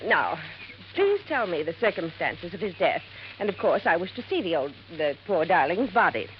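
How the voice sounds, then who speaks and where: An actress playing a haughty, demanding college toxicologist in 1950s radio drama, speaking in a formal, imperious, precise way. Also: female voice, fairly low pitch, sing-song, moderate pace. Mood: prim, suspicious. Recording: dull, noisy vintage radio recording.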